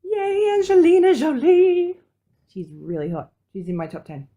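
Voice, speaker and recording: A woman's voice: very high voice, huge pitch swings, moderate pace, warm tone. A woman co-hosting a podcast, chatting casually in an enthusiastic, blunt and slangy way. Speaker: podcast co-host. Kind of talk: casual chat.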